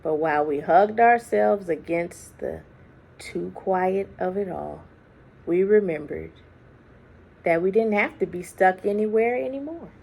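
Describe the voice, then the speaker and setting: Slow, creaky-voiced woman reading a storybook. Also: mid-range voice, animated. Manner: deliberate dramatic phrasing with hushed, drawn-out words. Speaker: children's book reader. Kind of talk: expressive read-aloud storytelling for children. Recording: faint background noise.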